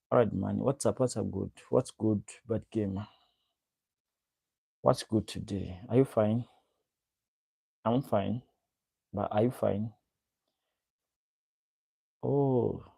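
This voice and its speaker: A man's voice: on the low side, gravelly, warm tone, husky, no room sound. A young man from Uganda hosting a casual livestream, greeting viewers by name and repeating his questions. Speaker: livestream host. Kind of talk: casual livestream chat reading comments.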